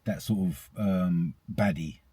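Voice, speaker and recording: A man's voice: sits low, slow, warm tone, breathy edge, no echo. A man with a British accent co-hosting a podcast, giving opinions in casual conversation with an emphatic, colloquial manner and repeating phrases for emphasis. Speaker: podcast co-host.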